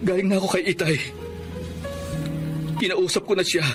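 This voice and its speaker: An actor playing a humbled, remorseful husband, speaking earnestly in a Filipino radio drama. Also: male voice, high voice, little pitch movement, moderate pace, music in the background, natural voice. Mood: pleading, remorseful. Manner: earnest, imploring. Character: remorseful, humbled husband pleading with his estranged wife.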